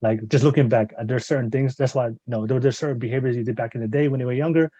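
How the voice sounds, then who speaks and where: A man's voice: mid-range voice, steady tone, fast talker, warm tone, no echo, lo-fi sound. A man hosting a podcast in a casual interview style, rambling as he repeats and rephrases what he says. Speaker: podcast host.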